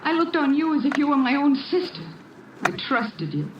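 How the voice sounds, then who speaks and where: Wounded young wife, earnest vintage radio melodrama; higher pitch, distant hissy recording. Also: female voice, very animated, normal speed, lo-fi sound. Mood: indignant, hurt. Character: wounded, betrayed young wife, distraught and indignant. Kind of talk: old-time radio drama acting. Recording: noisy, distant, roomy old radio recording.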